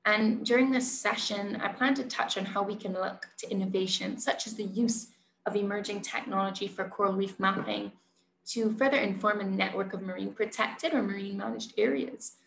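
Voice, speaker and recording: A woman's voice: mid-range voice, fairly even intonation, normal speed, creaky, a bit bright. A woman, giving an earnest, carefully phrased prepared presentation. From Barbados. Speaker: conservationist and presenter. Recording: roomy echo, light background noise.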